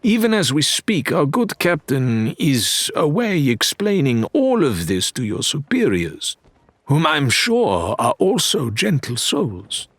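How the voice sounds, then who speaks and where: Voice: mid-range voice, wildly swooping pitch, slow, dry recording, pitched above the performer's own voice, full character voice. A man voicing a flamboyant pirate crewman with mock-polite, lilting menace for an audiobook. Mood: mock courteous. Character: Faisal, suave theatrical male pirate crewman, mock-courteous menace.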